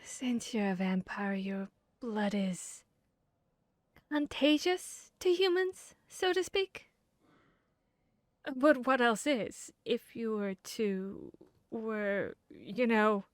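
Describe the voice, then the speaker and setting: A woman playing a guarded, bashful vampire hunter in an intimate ASMR roleplay, speaking earnestly and haltingly. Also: on the high side, lively intonation, dry recording, studio quality. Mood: flustered, awkward. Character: guarded, bashful female vampire hunter confessing tender feelings. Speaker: audio roleplay voice actor.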